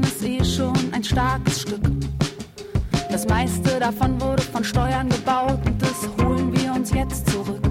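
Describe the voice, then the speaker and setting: A woman performing a political protest song, singing and chanting slogans. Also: high voice. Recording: loud music over the voice. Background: loud musical instruments.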